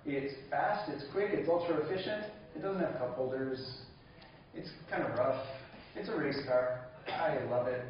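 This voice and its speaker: A man with a fairly high voice delivers an enthusiastic keynote speech in a large conference hall. The sound is dull and lo-fi, picked up from a distance, with heavy echo from the room. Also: moderate pace, a little hiss or hum. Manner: enthusiastic, laughs along with the audience. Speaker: keynote speaker.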